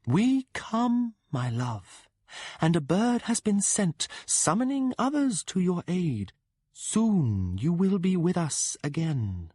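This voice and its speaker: A man voicing a possessive dead woman's spirit, speaking in a slow, soothing but ruthless way in an audiobook reading. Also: sits high, big pitch swings, warm tone, slightly rough, no echo, clean studio sound, voice pushed up, exaggerated voice. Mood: promising.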